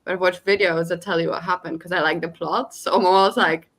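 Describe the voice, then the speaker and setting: Bright-voiced woman chatting casually. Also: on the low side, fairly even intonation, a little fast. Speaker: co-host of reading livestream. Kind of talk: casual chat.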